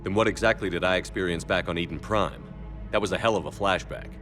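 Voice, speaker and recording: An actor playing a resolute military commander, speaking in a firm, measured, plain way. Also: male voice, low-pitched, a bit bright, faint music behind.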